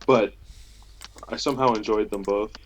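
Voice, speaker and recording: A man's voice: fairly low pitch, steady tone, moderate pace. A young man, chatting casually as a podcast co-host. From Canada. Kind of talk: casual group chat. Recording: faint noise, keyboard clicks.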